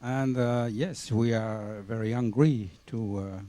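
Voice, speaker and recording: A French man hosting a TV music show, interviewing a guest in halting English full of fillers. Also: lowish voice, steady tone, mellow, dry recording. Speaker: TV show host. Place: TV studio.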